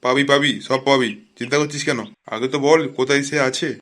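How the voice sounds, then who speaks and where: A man voicing a gruff, foul-mouthed police officer in a comic cartoon, bossy and blunt. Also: mid-range voice, flat delivery, fast talker, fairly bright tone, lightly acted. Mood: grudging, businesslike.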